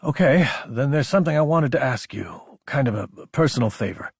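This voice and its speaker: A man's voice: medium pitch, animated, normal speed, gravelly, husky, dry recording, clean studio sound, pitched above the performer's own voice. A man voicing an anxious, earnest young military officer in an audiobook, protesting in informal speech. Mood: awkward, earnest.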